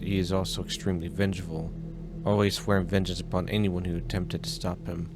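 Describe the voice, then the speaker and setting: A man's voice: sits low, flat delivery, fairly quick. A man playing a formal, grave informant who delivers emphatic dramatic exposition in a horror drama. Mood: formal, insistent. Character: formal, grave male informant recounting a madman's crimes. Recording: music playing behind the voice.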